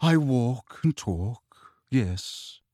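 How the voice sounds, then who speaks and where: A man voicing a courteous, melancholy gentleman ghost with a formal, wistful, resigned delivery for an audiobook. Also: fairly high pitch, big pitch swings, measured pace, dry recording, studio quality. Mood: mournful. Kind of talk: audiobook character acting.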